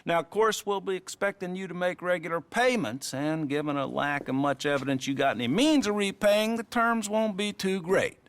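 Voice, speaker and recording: An actor playing a smug small-town banker in an Old West video game, with an American accent and a wry, teasing, patronizing manner. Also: male voice, high-pitched, steady tone, moderate pace, few pauses, fairly bright tone, clear, resonant tone. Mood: businesslike, smug. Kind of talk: video game western acting.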